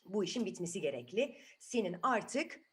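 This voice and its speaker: Bir kadın, çocuk masalındaki azarlayan, buyurgan ve sert bir tarla faresini seslendiriyor. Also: female voice, mid-range voice, very animated, moderate pace, breathy edge. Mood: stern, impatient. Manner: scolding, commanding. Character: stern bossy female field mouse guardian. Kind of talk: children's storybook voice acting.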